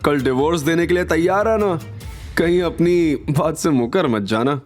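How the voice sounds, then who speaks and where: A man's voice: a little high, moderate pace, soft background music, voice pushed up, close to an everyday voice. A man playing an arrogant romantic rival, boastful and taunting, in an audio drama. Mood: smug taunting. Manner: smug, boastful.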